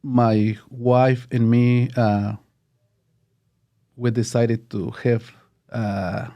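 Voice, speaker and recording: A man in his fifties from Mexico, a native Spanish speaker with a Mexican accent, speaking as a guest in a casual interview and often pausing to search for words in English. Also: mid-range voice, little pitch movement, highs cut off.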